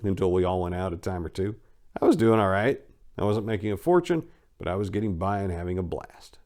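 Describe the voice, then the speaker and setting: A man from the United States narrates a first-person memoir as a dramatic reading. His voice sits on the low side. He tells it in a gritty, irreverent way, like someone recounting his own rough past. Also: darkish tone. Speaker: audiobook narrator.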